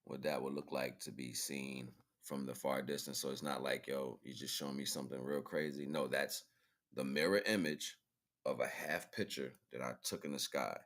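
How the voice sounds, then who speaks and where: An extremely low-pitched man hosts a casual livestream. He rambles informally as he explains what he is showing, and keeps checking that the listener follows with short tag questions. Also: steady tone, moderate pace, a bit bright. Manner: informal, rambling, repeatedly checking in with "right?" and "you know what I'm saying". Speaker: livestream host. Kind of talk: casual livestream commentary on pictures.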